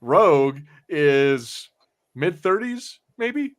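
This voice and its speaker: A man's voice: fairly high pitch, very animated, extremely slow. A man co-hosting a podcast in casual chat, swearing freely and bantering with mock indignation. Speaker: podcast co-host. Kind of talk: casual chat reviewing an animated series.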